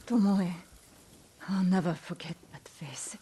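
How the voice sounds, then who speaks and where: An actress playing a wounded, haunted village woman in a video game, breathy, husky and halting. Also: fairly low pitch, dark tone, slight background noise, close to an everyday voice. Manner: halting, weak, effortful. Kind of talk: dramatic video game acting.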